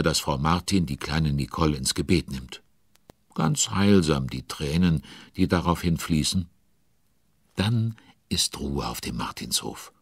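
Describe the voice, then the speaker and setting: Low, husky male storyteller, dry close studio sound, wry commentary for a children's radio play. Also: dry recording, full character voice. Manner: commenting chattily on events, addressing listeners. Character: wry storyteller narrating a children's horse adventure. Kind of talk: scripted audio play narration.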